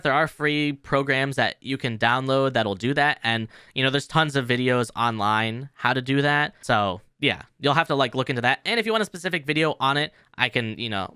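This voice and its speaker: A man hosting a casual software tutorial. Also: medium pitch, clear, resonant tone, dry recording, studio quality. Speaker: tutorial host.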